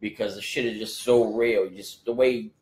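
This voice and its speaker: A man's voice: lowish voice, fairly even intonation, moderate pace, echoey room, a crowd in the background, rough recording. A man in his forties in a casual podcast chat, rambling with many restarts and fillers. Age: 40s. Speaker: podcast co-host, rapper.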